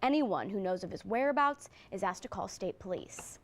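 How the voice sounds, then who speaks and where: A woman's voice: mid-range voice, lively intonation, moderate pace. A woman with an American accent reading scripted news as an anchor.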